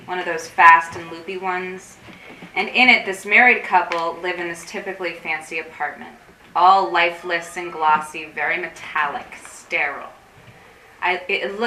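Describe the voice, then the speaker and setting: A woman's voice: on the low side, bright, forceful tone. An actress playing an anxious, intense woman in a staged play reading, speaking in a wordy, confessional, dramatic way. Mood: disgusted, agitated. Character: anxious, intense woman craving digital disconnection. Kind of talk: theatrical staged reading, monologue-like dialogue. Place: room at a live staged reading. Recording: roomy, distant mic, faint noise.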